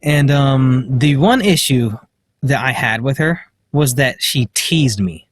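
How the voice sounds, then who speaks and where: Quiet young man rambling outdoors. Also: medium pitch, lively intonation, slow, no room sound, studio quality. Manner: filler-heavy, self-deprecating, with nervous little laughs. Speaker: vlogger. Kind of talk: rambling personal story to camera. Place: outdoors, walking in public. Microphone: handheld phone.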